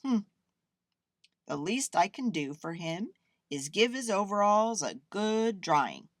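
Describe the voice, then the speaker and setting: A young man, an artist from a storybook, played by a woman reader, muses aloud in a kindly way. His delivery is animated and unhurried, full of thoughtful hums and exclamations. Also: fairly low pitch, no echo, studio quality, heavily acted.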